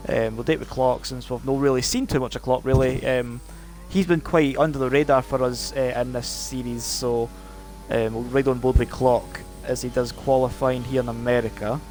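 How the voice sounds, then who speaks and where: A man's voice: mid-range voice, fairly even intonation, moderate pace, a little mellow, soft background music. A man with a Scottish accent commentating a live sim racing stream, chatty and full of fillers and restarts. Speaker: race commentator.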